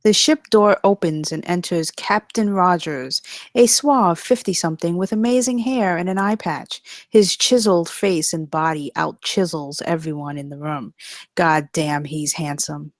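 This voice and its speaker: Slow-paced, low-voiced woman narrator. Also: no room sound, studio quality. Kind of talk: scripted narration of comedy screenplay.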